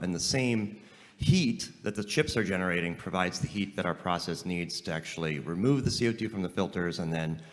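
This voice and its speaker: A man, a company CEO, speaking on a conference panel in a relaxed, joking way while explaining technical ideas plainly. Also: deep voice. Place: large conference hall, stage. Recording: long hall reverb.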